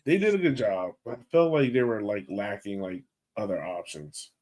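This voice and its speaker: A man's voice: lowish voice, lively intonation, normal speed, darkish tone. A man hosting a podcast in casual chat, with an informal, slangy and emphatic way of talking. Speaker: podcast host reading listener questions.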